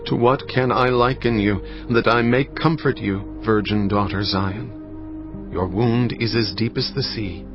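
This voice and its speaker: Low male voice, grave measured Bible narration, slightly muffled, music faintly behind. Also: fairly even intonation. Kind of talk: dramatized scripture narration.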